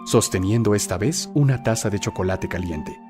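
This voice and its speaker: Low-voiced man narrating horror. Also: normal speed, music in the background. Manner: theatrical, suspenseful storytelling. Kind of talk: dramatic scripted horror narration.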